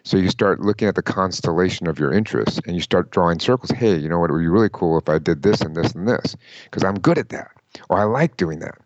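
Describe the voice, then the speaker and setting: A man giving career advice in an interview, emphatic and lively, often quoting people in mock voices. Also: low voice, fast, no echo, muffled recording. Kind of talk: conversational interview.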